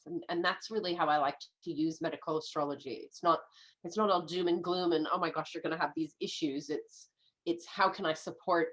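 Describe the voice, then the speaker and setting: A woman in her fifties with an American accent and a nasal, slightly stuffed-up voice from a lingering head cold. She talks as a guest in a relaxed interview, chatty and eager. She often trips over her words and starts her sentences again. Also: on the low side. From United States. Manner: chatty, enthusiastic, restarts often. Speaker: guest, medical astrologer. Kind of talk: casual podcast interview.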